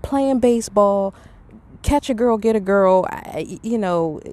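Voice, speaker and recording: A woman's voice: mid-range voice, animated, slow pace, darkish tone. A middle-aged woman hosting a podcast, talking in a casual, reflective monologue with an earnest, conversational and emphatic manner. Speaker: podcast host.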